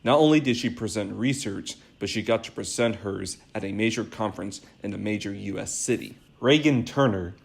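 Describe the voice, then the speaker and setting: A young man narrates a news segment in the manner of a student reporter. His voice has plenty of vocal fry, at an ordinary pitch for a man. The delivery is scripted. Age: young adult.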